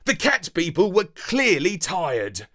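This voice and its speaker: A man's voice: high-pitched, huge pitch swings, slow pace, bright, forceful tone. A man reading a children's story in a dramatic, playful way, as scripted narration.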